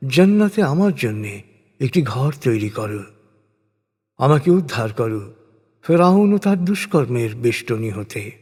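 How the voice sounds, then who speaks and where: A man reading a scripture translation as a solemn scripted narration, in measured, formal phrases that fall at the ends. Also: fairly high pitch, a little mellow. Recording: long hall-like reverb.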